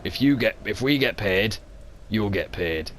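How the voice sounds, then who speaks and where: A man voicing a blunt, gruff male ship captain who speaks in short, dry sentences. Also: on the low side, lively intonation, a little slow, a bit bright, slight background noise. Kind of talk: amateur motion comic voice acting.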